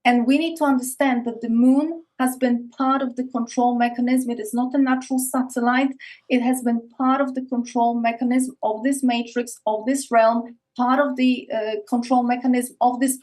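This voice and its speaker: Eastern European woman, earnest and halting. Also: a little high, little pitch movement, a little mellow. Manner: earnest, frequent restarts and repeated words. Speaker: podcast guest. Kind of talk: casual interview talk. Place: Arctic north of Norway.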